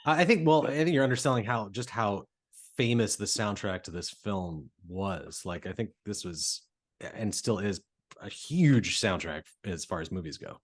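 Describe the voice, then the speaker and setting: A man's voice: fairly low pitch, expressive. A man co-hosting a casual movie podcast, cracking wry jokes and chiming in often. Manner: wry, quick jokes and agreeing interjections. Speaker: podcast co-host.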